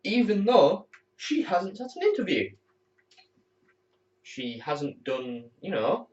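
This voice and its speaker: A man's voice: sits high, big pitch swings, slow pace, darkish tone, distant mic. A teenage lad in the air cadets talks casually to his camera at home, rambling freely and swearing now and then. He sits some way from the mic. The sound is dull and muffled. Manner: candid, rambling, swears when annoyed.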